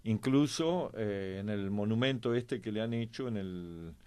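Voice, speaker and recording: A man with an Argentine accent giving conversational historical commentary as a panelist, reflective and halting, often pausing mid-thought. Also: on the low side, animated, darkish tone, smooth. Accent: Argentine Spanish. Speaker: panelist on a history and politics programme.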